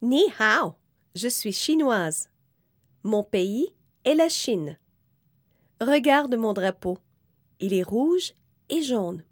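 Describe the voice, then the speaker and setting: Slow, careful woman reading to kids. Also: a little high, expressive, dry recording. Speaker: reader of a children's book. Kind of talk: slow, clear read-aloud for young learners.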